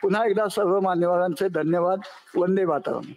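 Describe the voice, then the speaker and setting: A man's voice: sits high, fairly even intonation, moderate pace, lo-fi sound. A man giving a formal, ceremonious speech at a commemorative event. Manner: earnest, ceremonious, full of honorifics.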